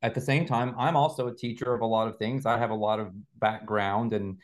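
A man's voice: on the low side, steady tone, fairly quick. A man speaking as an interview guest, explaining conversationally and carefully qualifying his points like a teacher.

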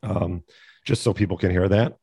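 A man interviewing a guest, hoarse and losing his voice, asking halting questions full of pauses. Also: low-pitched, warm tone. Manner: halting, with many pauses and fillers. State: losing his voice, clearing throat.

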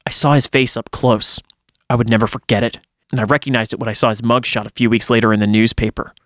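A man's voice: medium pitch, little pitch movement, quick pace, fairly bright tone, dry recording, clean studio sound. A man reading a first-person horror story aloud in a dramatic storytelling style, as a podcast host would.

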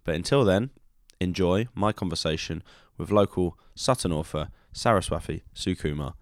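A man with a deep, low voice hosts a conversational interview podcast. He phrases things loosely and tentatively. The sound is dry, with very little room in it. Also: moderate pace, no room sound.

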